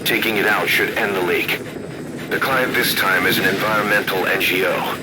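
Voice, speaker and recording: Military game adviser, played by a man: grave, deliberate, low-pitched, briefing the boss under loud helicopter noise. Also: close to an everyday voice. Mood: businesslike, firm. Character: grave, composed older male military adviser briefing his commander. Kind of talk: scripted mission briefing and exposition. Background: helicopter rotor and engine ambience.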